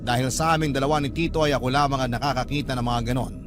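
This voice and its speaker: A man's voice: medium pitch, fairly even intonation, racing, loud music behind the voice, close to an everyday voice. A Filipino man playing a young narrator who earnestly recounts his ghostly encounters in a dramatic radio drama. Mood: earnest, uneasy.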